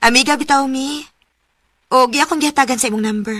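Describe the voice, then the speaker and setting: A woman's voice: high voice, quick pace, a bit bright, no room sound. A woman with a Cebuano accent playing a giggly, hesitant young single woman in a radio romance drama. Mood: curious, coy. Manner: teasing, exclamatory, giggly.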